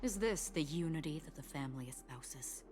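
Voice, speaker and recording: A woman playing a cool, unflappable, quietly dangerous warrior in a video game, with deadpan, composed delivery. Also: sits low, normal speed, background noise. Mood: cool, probing. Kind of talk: dramatic video game voice acting.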